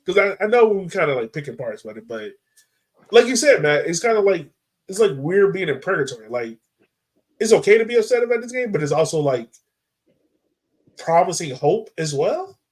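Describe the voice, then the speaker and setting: A man's voice: high-pitched, very animated, moderate pace, long pauses. A man hosting a casual soccer discussion, speaking informally and conversationally and often posing questions. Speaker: podcast host.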